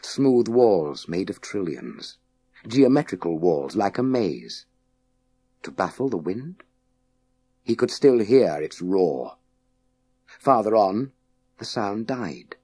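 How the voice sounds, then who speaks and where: A man reading a children's science fiction audiobook in a measured, suspenseful, dramatic way with deliberate pauses. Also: fairly low pitch, sing-song, warm tone, breathy edge, dry recording. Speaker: audiobook narrator. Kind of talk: scripted dramatic narration of a children's sci-fi story.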